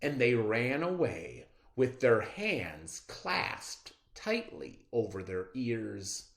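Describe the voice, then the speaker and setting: A reader narrating a children's story aloud in a theatrical, expressive style. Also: medium pitch, gravelly, echoey room.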